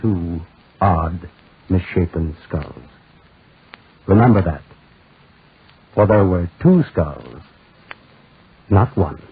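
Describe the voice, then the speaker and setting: A man narrating a 1940s radio drama in a solemn, ominous manner with deliberate pauses. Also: deep voice, expressive, husky. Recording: tinny, narrow-band 1940s radio recording.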